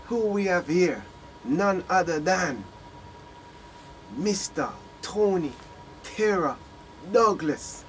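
A man hosting a casual quick-fire interview in a small studio, prompting his guest with short replies. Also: high voice, lively intonation, fairly bright tone, a little hiss or hum, rough recording. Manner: brief encouraging backchannels. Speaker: interview host. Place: small studio room with screens.

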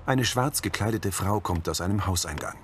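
A man's voice: deep voice, moderate pace, background noise. A man reading scripted audio description, narrating scenes as a narrator.

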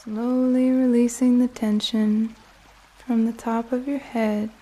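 A woman with a soft, soothing voice narrating a guided sleep meditation in a gentle, calming manner with long pauses. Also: fairly high pitch. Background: soft music and flowing water nature sounds.

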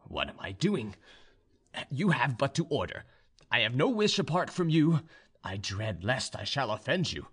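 A man's voice: medium pitch, sing-song, measured pace, fairly bright tone, husky, heavily acted. A man voicing a humble, lovestruck young officer in an audiobook, earnest and deferential. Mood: humble, devoted. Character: ardent, humble young male officer hopelessly in love. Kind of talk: audiobook character dialogue.

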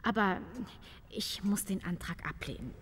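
A woman's voice: mid-range voice, animated, unhurried, fairly bright tone, slight background noise. A woman playing a haughty, refined countess in a comedic audio drama, with formal, theatrical diction. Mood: gracious, firm. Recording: long hall reverb.

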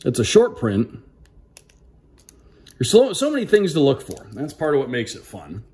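A man's voice: medium pitch, wildly swooping pitch, moderate pace, darkish tone. A man doing casual unboxing commentary with an upbeat, announcer-like delivery, calling out names like a sports broadcaster. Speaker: hockey play-by-play broadcaster hosting a card unboxing.

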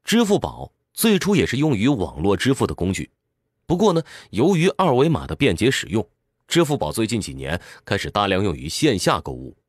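A man's voice: fairly low pitch, huge pitch swings, fairly quick, breathy edge, dry recording, studio quality. A man giving a scripted explanatory narration in a didactic way, speaking directly to the listener. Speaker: audiobook summary narrator.

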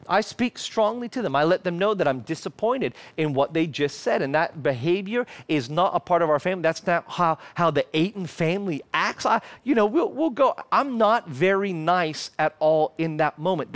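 Australian pastor in his thirties, high voice, emphatic preaching that drops to hushed moments, headset mic. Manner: emphatic, gesturing preacher who swings from fervent to hushed. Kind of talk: sermon. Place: church stage in front of a lit screen.

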